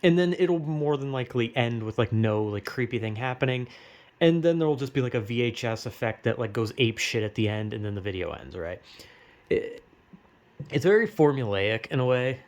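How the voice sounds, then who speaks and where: A man's voice: medium pitch, lively intonation, normal speed. Young man, American accent, casual commentary, rambling, slangy, sweary, conversational. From United States. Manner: rambling, slangy, heavily profane.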